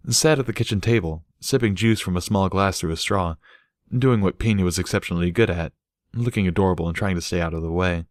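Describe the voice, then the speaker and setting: A man's voice: low-pitched, dry recording, clean studio sound. A man reading scripted fiction as a storybook narrator.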